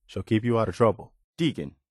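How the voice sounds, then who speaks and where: An actor playing an earnest, dutiful militia soldier in a post-apocalyptic video game, speaking in a plain, folksy way. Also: male voice, fairly low pitch, lively intonation, brisk, no echo, clean studio sound. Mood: earnest, wary. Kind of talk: video game companion voice lines.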